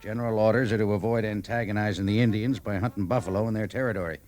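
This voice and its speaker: Man playing commanding officer, deep voice, measured, wry, authoritative, old-time radio drama. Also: fairly even intonation, a little mellow, soft background music, lightly acted. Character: wry, weary male cavalry major, commanding officer.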